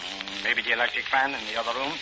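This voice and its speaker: An actor playing a scheming, menacing crime boss with a commanding manner, in 1940s radio drama style. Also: male voice, medium pitch, quick pace, lightly acted. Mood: calculating, menacing. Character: scheming male crime boss running a race-fixing racket. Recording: muffled, hissy old radio recording.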